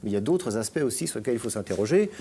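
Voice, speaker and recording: A man's voice: on the low side, animated, very fast, mellow, a little hiss or hum. A middle-aged man from France, talking as a guest columnist in a radio chat, hesitant with many fillers and restarts. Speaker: guest columnist on digital topics.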